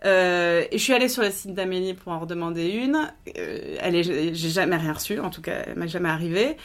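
A woman's voice: lowish voice, animated, fairly quick. A woman guest chatting casually with many fillers, in an easygoing, shrugging tone. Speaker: interview guest. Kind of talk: casual chat.

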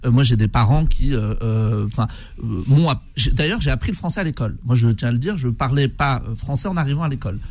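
A man's voice: medium pitch, fast, darkish tone. A man whose first language is Kabyle, a guest in a radio studio debate, insistent and full of hesitant fillers.

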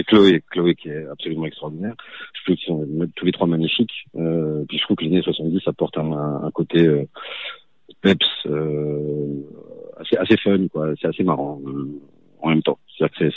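An actor raised in Toulouse, chatting in a relaxed way on the phone from his mother's home, with lots of fillers and easy agreement. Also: a bass voice, dry recording. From southern France, raised in Toulouse. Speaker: actor guest. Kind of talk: casual phone interview. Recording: dry, tinny phone line.

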